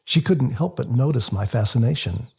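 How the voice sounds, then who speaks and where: A man reading a novel aloud as a first-person dramatic narrator, in a confessional, expressive storytelling manner. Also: mid-range voice, moderate pace, creaky, husky. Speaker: author reading their own novel. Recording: music under the voice. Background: music.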